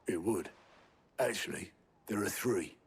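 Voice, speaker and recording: An actor playing a gruff, pragmatic rebel lieutenant in a video game, blunt and matter-of-fact. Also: male voice, deep voice, unhurried, full character voice. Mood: blunt, concerned. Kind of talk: video game cutscene acting. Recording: prominent background game music.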